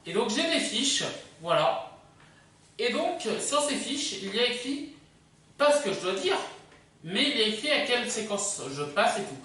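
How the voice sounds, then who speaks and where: Echoey, distant video host with lively pitch. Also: normal speed. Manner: hesitant, rambling, digressive. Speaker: video host presenting a countdown. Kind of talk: casual unscripted presenting to camera. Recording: echoey room, distant microphone.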